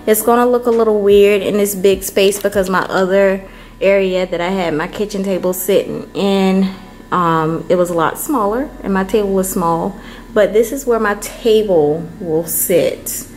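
A woman vlogger giving a casual, unhurried walkthrough of an empty apartment, filmed on a handheld camera. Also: mid-range voice, fairly even intonation, darkish tone, rough recording. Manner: unhurried, chatty, many ums. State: hot and worn out from moving.

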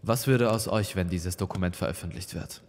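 A man voicing a stern old pope in an audio story, quiet and cold with suppressed anger. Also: deep voice, fairly quick, big-room sound, lightly acted. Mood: cold challenge. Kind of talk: dramatic audio story dialogue.

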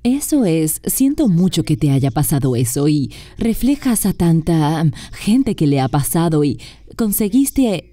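A woman's voice: low voice, very animated, unhurried, mellow, no echo. A woman speaking as an interview guest, earnestly and emphatically explaining her points in a dubbed conversation.

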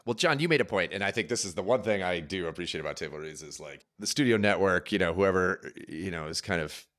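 A man's voice: low voice, animated, fast, fairly bright tone. A middle-aged man chatting casually as a podcast host, anecdotal and amused, on a close microphone in a room at home. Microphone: close podcast microphone, headphones.

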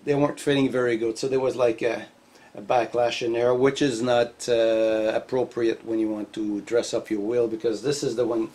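A middle-aged man casually explaining shop work as a hobby machinist, in a halting, hands-on way. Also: mid-range voice, flat delivery, warm tone. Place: cluttered garage machine shop. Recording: noisy workshop ambience.

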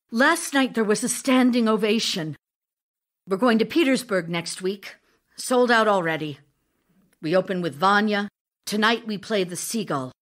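A woman's voice: mid-range voice, a bit bright. A woman playing an ardent, theatrical stage actress in love, speaking emotionally in an audio drama. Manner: emotional, theatrical, deliberate phrasing. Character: Olga Knipper, ardent Russian stage actress and lover. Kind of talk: audio drama acting, letters read as speech.

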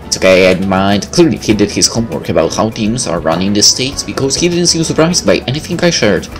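A man hosts a let's play with a lively, swinging pitch. He reads the story aloud and drops in informal, joking asides and swearing. Faint music plays behind him. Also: fast talker. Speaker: gameplay host reading a visual novel aloud. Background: game music.

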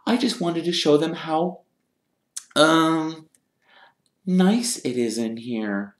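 A reader plays a sensible storybook schoolgirl, raised well above a normal talking pitch. The manner is brisk and a bit bossy, in an echoey room. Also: lightly acted. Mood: placating. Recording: roomy echo.

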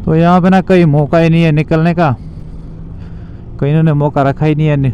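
A young man with a slightly high voice chats casually to his viewers as he rides a motorbike through town. He rambles in a loose, repetitive way, picked up by a mic on his camera rig, with faint traffic noise behind him. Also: fairly even intonation, brisk, mellow, dull audio. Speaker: moto vlogger. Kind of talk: casual narration while riding a motorbike. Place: outdoors on a motorbike in city traffic.

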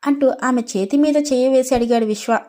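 A woman's voice: sits high, quick pace, warm tone. A woman storyteller reading a scripted story narration.

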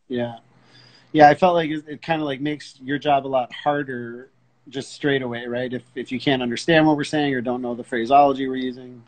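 A man's voice: mid-range voice, steady tone, a little fast, low-quality recording. A middle-aged man hosting a casual interview over a video call, thinking aloud as he frames his questions. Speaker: host, pilot interviewer. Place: indoors in an armchair, video call.

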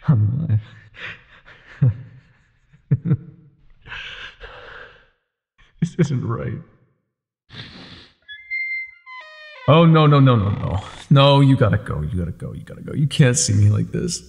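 Male actor as older ex-mafia boyfriend, very breathy and raspy, mellow, intimate roleplay, tender but self-doubting. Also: mid-range voice, lively intonation, a little fast, full character voice. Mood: panicked, fragile. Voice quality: husky, breathy.

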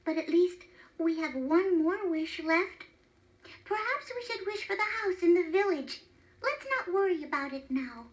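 A woman acts out a woodcutter's wife from a children's tale. She is excitable and apt to scold, exclaiming in a very high voice. Her words come slowly and with emphasis. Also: dull audio. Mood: apologetic. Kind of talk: children's storybook character reading.

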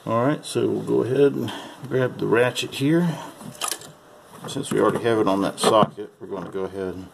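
A man's voice: on the low side, steady tone, normal speed, vocal fry, slight background noise, rough recording. A man talking casually through a hands-on repair job as he works, in an unscripted, folksy how-to style. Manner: casual, folksy instruction, calling parts "her". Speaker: amateur mechanic giving a repair demonstration. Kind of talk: unscripted how-to narration while working. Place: at a car's open engine bay.

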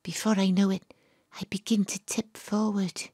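A woman's voice: medium pitch, level tone, moderate pace, warm tone, breathy edge, no room sound, close to an everyday voice. A woman playing a wry, world-weary private detective who narrates her own story in a confiding way in an audio drama. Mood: bleak. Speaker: narrator and lead character.

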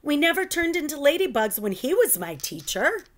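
A woman's voice: sits high, sing-song, moderate pace, a bit bright, voice pushed up. A woman voicing a wistful, anxious, earnest young schoolgirl in a children's storybook. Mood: nervous.

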